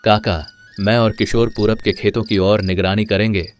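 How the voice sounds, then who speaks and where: A man's voice: fairly low pitch, fairly even intonation, moderate pace, slight background noise, natural voice. A man playing a plain-spoken, familiar village farmhand in a dramatized moral story. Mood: teasing.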